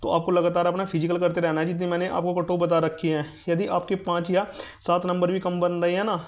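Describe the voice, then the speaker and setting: High-pitched, fast-talking man. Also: level tone. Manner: repetitive, reassuring, instructive. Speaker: exam news and guidance YouTuber. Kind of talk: informal exam update monologue addressed to students. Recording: dull, lo-fi muffled sound.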